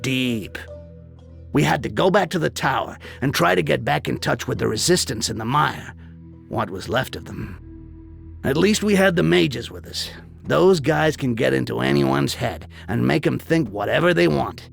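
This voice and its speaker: Gravel-throated, cynical goblin mercenary. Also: male voice, mid-range voice, lively intonation, moderate pace, soft background music. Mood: wry storytelling. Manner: sardonic, streetwise, crude. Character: cynical, foul-mouthed, greedy male goblin mercenary guide. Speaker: character narrator. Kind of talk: cynical in-character video game narration.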